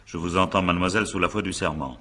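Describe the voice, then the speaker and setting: A male examining magistrate in a radio mystery drama. His voice is very low and gravel-rough, yet bright and forceful. He is brisk and impatient, firing short official questions and cutting answers off. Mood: businesslike.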